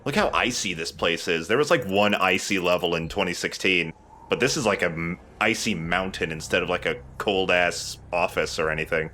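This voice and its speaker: A man's voice: deep voice, normal speed, bright, forceful tone, slight background noise. An enthusiastic man giving casual let's play commentary as he plays a video game. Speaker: let's play host and player.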